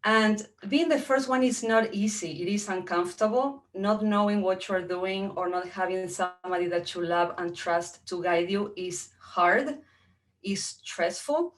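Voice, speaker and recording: A middle-aged woman with an Argentine accent, native in Spanish, speaks as a guest. She tells a personal, motivational story in a medium-pitched voice. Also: measured pace. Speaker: guest speaker, entrepreneur and coach.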